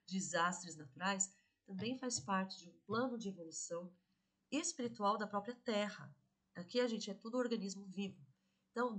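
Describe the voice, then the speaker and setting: A hoarse woman with a cold talks through a reaction video in a slow, explanatory way. She keeps checking in with her audience, and her voice sits in a middle pitch. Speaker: spirituality video host.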